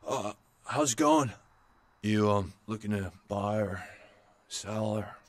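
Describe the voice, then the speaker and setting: A young stoner dealer from a video game. His voice is lowish and very slow, with a hesitant, mumbly drawl and a laid-back temper. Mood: sluggish, hesitant.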